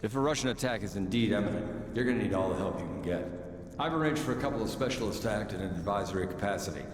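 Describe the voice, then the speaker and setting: A man plays a composed, authoritative officer briefing allies in a war game. He is quick and formal, with a low voice. Long reverb trails the words. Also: expressive, natural voice. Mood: assured. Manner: formal, assured. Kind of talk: cinematic military game acting. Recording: echoey hall-like reverb, faint ambience.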